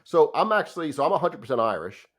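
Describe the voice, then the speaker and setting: A man in his fifties from New Jersey hosting a podcast, chatty and quick to laugh, often restarting and trailing off mid-thought. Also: a little high, very animated. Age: 50s. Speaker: podcast host. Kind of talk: casual podcast chat.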